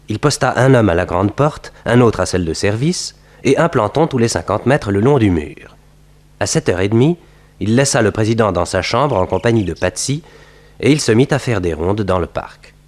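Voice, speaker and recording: A man narrating a 1960s French radio crime serial in a scripted, dramatic storytelling manner. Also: lowish voice.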